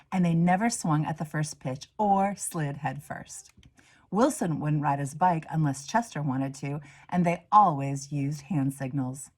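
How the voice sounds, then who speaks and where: A woman reading a children's storybook aloud in an expressive way, moving between hushed asides and emphatic swells. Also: sits low, slightly rough. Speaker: reader, minister.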